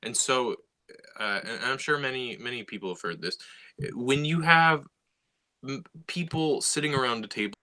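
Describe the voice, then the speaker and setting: A man's voice: mid-range voice, normal speed, bright, forceful tone, poor audio. A man co-hosting a casual podcast, explaining his ideas and building his points step by step. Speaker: podcast co-host and writer.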